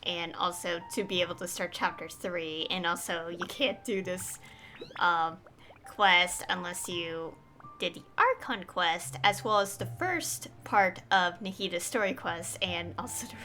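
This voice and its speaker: A woman's voice: fairly low pitch, a little slow, sharp tone. A woman doing casual live game commentary, rambling and hesitant as she thinks aloud. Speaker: game streamer. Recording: faint game music behind. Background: game music.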